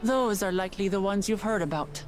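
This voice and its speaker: A woman's voice: mid-range voice, a little fast, darkish tone, lightly acted. A woman voicing a proud, plain-spoken young princess in a video game, earnest and blunt. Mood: explanatory. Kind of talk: scripted video game voice acting. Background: music.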